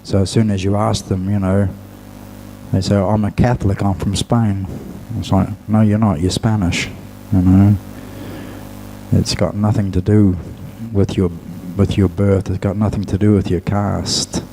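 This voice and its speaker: A man giving a Buddhist talk as a monk and teacher, speaking in a measured, halting way with long pauses. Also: lowish voice, flat delivery, gravelly, warm tone, a little hiss or hum, rough recording. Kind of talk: dhamma talk to an audience.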